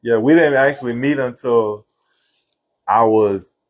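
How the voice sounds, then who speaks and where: A man's voice: mid-range voice, a little slow, lo-fi sound. Man in his fifties storytelling. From Florida. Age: 50s. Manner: relaxed, laughing, Southern slang. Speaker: interview guest, singer. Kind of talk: casual storytelling interview.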